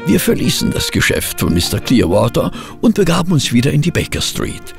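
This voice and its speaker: An actor playing a sceptical detective's companion and narrator in an audio drama, expressive and given to grumbling. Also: male voice, on the high side, a little fast, gravelly, over music. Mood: measured, narrating. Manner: expressive, doubting, hesitant asides.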